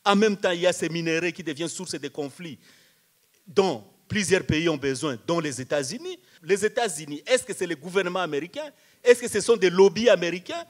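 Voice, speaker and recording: A man's voice: high-pitched, lively intonation, brisk. A man speaking on a panel at a public debate, explaining didactically with rhetorical repetition and questions.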